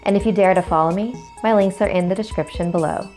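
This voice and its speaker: A woman's voice: mid-range voice, quick pace, a little mellow, soft background music. A woman hosting a scary story series, reading scripted horror narration.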